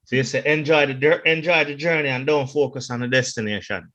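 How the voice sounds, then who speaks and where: A man's voice: mid-range voice, steady tone, a bit bright, dull audio. A man hosting a podcast in a loose, upbeat, casual way. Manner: upbeat, loose, drawn-out hype phrasing. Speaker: podcast host.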